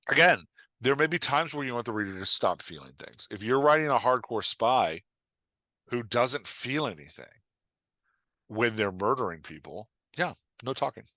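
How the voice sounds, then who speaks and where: A man's voice: mid-range voice, expressive, normal speed, sharp tone, no echo. A man talking casually as a podcast guest and writing coach, making points emphatically and acting out imagined lines. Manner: emphatic, acts out imagined lines, checks with "right?". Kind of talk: casual podcast advice talk.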